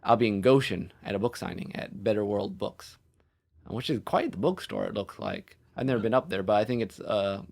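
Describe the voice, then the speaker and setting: A man's voice: fairly low pitch, lively intonation, brisk, vocal fry, darkish tone. A man co-hosting a podcast as an author, chatting casually in a rambling, self-deprecating way.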